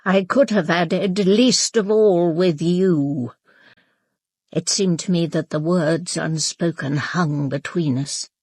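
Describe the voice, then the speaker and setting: An actress playing a proud, grieving aristocratic woman in her eighties in a radio drama, with an upper-class British accent and a measured, clipped, formal manner. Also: fairly low pitch, a little mellow, breathy edge, no echo, clean studio sound. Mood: stoic, guarded. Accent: upper-class British English. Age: 80s. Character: proud, grieving elderly aristocratic mother, stoic and imperious. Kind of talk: radio drama with first-person narration.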